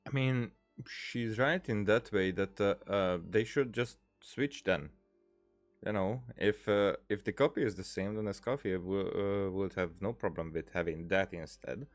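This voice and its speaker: A man's voice: lowish voice, measured pace, no room sound, faint music behind. A man giving casual gameplay commentary, hesitant and thinking aloud as he goes. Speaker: gaming video host.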